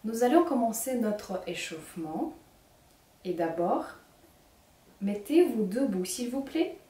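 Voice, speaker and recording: A young woman with a Ukrainian accent leading a children's singing workshop, speaking slowly and clearly as she gives instructions. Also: mid-range voice, a little mellow. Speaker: singing teacher. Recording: echoey room, mic at a distance.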